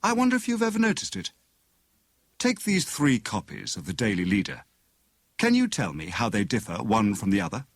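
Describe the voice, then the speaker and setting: A man's voice: lowish voice, huge pitch swings, normal speed, no echo, clean studio sound, heavily acted. A man voicing a jovial, pompous husband who plays amateur detective in a teasing, mock-grand manner for an audiobook.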